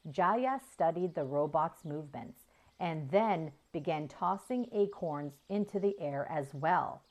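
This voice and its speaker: A woman reading a children's story aloud in an expressive storytelling style. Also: low-pitched, slow. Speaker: children's audiobook reader.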